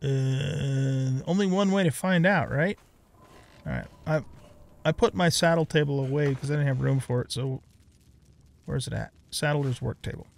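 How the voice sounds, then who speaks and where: A man's voice: medium pitch, moderate pace, vocal fry. A middle-aged man giving casual let's play commentary on a video game, thinking aloud and muttering to himself.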